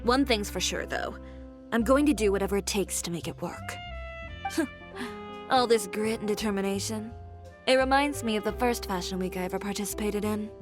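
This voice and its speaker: Woman voicing young fashion designer lady in a game, composed n blunt, very driven, middle pitch, bit of music under. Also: normal speed, lightly acted. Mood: determined. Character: young female fashion designer, cool, blunt, fiercely determined. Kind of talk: video game character acting.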